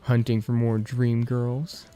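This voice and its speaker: A man's voice: medium pitch, completely flat delivery, takes it slowly, mellow, clean tone, soft background music, pitched above the performer's own voice, close to an everyday voice. A man voicing a cocky young male student who teases his friend with mocking, jocular banter. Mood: teasing.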